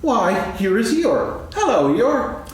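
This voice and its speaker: A woman's voice: on the high side, very animated, takes it slowly, a little mellow. A woman playing a kind, eager young boy in a staged reading, exclamatory and earnest. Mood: excited. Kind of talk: staged reading of a children's story play. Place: on stage in a hall. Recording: very echoey hall, distant mic, faint noise.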